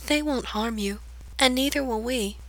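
A woman reading aloud, voicing a solemn, sad girl who speaks low, calm and gentle. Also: normal speed. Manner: calm, soft, melancholy.

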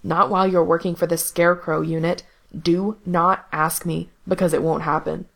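A woman voicing a guarded young man who speaks flatly and bitterly to his brother. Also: lowish voice, normal speed, vocal fry. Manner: flat, bitter.

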